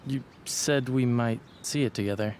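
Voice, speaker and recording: A man's voice: mid-range voice, normal speed, a little mellow, slight background noise, close to an everyday voice. A man playing an earnest, hopeful teenage boy, friendly and a little tentative, in a video game cutscene.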